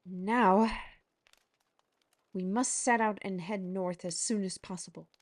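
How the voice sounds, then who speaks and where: A quick-witted heroine in a fantasy audio drama, voiced by a woman. She talks slowly and unhurriedly. Her lines carry a dry, sarcastic edge. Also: medium pitch, steady tone. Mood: wry. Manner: wry, dryly sarcastic.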